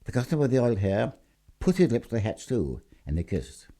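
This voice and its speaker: A man's voice: medium pitch, big pitch swings, brisk, warm tone. A man reading a scripted short story aloud in a theatrical, emphatic storytelling manner. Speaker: story reader.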